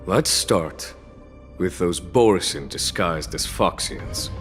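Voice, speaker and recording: Calm commanding general, lowish voice, long reverb, music behind. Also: male voice, slow pace. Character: calm, composed male general in his prime. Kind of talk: game cutscene voice acting.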